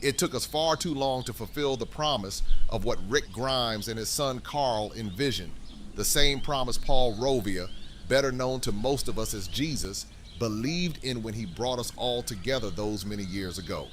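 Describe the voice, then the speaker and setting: A man acting in an audio drama as a warm, stately community leader, with theatrical, oratorical phrasing. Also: mid-range voice, moderate pace, a little hiss or hum, close to an everyday voice. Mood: proud, ceremonial.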